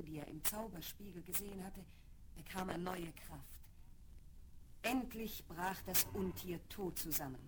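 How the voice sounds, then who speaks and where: A woman's voice: medium pitch, heavy background noise. A woman giving scripted storybook narration for a children's fairy-tale audio play. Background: music.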